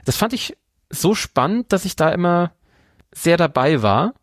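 A man's voice: on the high side, sing-song, a little slow, dry recording, clean studio sound. A man speaking as a podcast host in a casual film review chat, earnest and thinking aloud.

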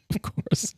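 A man co-hosting a podcast in casual chat, explaining in a measured way. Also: mellow, no echo, studio quality. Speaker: podcast host.

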